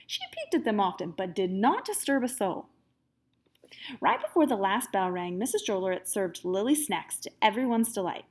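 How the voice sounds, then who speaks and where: A woman teacher reading a story aloud to young children in an expressive, animated manner, pausing to chat with her listeners. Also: medium pitch.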